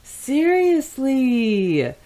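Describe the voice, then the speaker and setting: A woman hosting a podcast, chatting casually and reading listener comments aloud in an animated way. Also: high-pitched, very slow. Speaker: podcast host.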